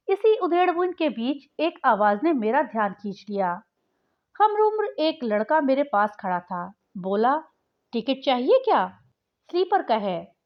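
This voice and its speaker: A woman narrating a scripted short story in an expressive, dramatic storytelling manner. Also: high-pitched. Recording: dull, lo-fi sound.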